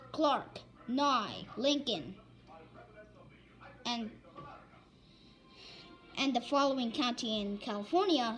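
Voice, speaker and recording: A woman reading an amateur emergency weather bulletin in a halting, stumbling way, often repeating phrases. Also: on the high side, a bit bright, rough recording. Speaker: announcer of mock emergency alerts. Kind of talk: amateur weather alert bulletin read aloud.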